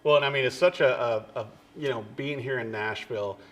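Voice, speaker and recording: A man's voice: medium pitch, fairly quick, a bit bright, lo-fi sound, slight background noise. A man in his forties from the US Midwest hosting a TV interview, chatty and affirming, working in product mentions. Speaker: TV show host. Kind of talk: interview chat.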